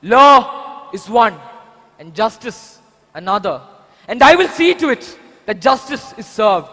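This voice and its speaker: A man with an Indian accent playing a vengeful young vigilante seeking justice, speaking in a deliberate, declamatory stage style. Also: sits high, fairly bright tone. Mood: cold, menacing. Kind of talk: amateur stage drama dialogue. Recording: echoey hall, long reverb.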